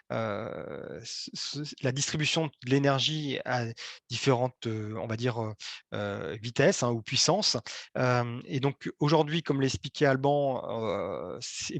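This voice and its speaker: Man giving a halting presentation, dry sound. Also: medium pitch, dry recording. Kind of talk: explanatory presentation to local officials.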